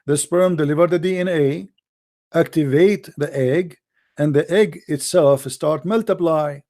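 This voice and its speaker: A man's voice: fairly high pitch, unhurried, dark tone. A middle-aged man with an Arabic accent, a native Arabic speaker, hosting a heated religious debate and pressing his points with repeated phrases. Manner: insistent, lecturing, repeats points. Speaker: host.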